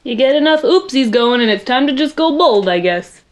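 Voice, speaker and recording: A young woman with a loud voice chatting casually in her bedroom while doing a makeup tutorial, rambling and poking fun at herself. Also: high voice, expressive, moderate pace. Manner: chatty, rambling, self-deprecating, talks to viewers while working. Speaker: makeup vlogger.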